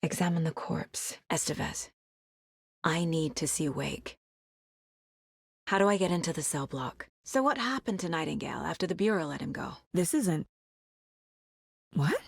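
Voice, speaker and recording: A determined female FBI investigator in a video game. Her voice is slightly low, husky and breathy, recorded very dry and close. She speaks with understated focus and a dry wit, as if working through a case. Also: expressive, normal speed, pauses often, no room sound, full character voice. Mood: distressed, confused. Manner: focused, understated, often muttering to herself. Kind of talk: naturalistic video game dialogue.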